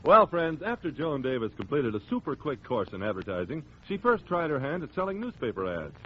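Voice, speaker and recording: A man's voice: medium pitch, very animated, brisk, faint music behind. A man announcing in scripted 1940s radio comedy style, recapping for listeners. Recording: dull, faintly noisy 1940s radio recording.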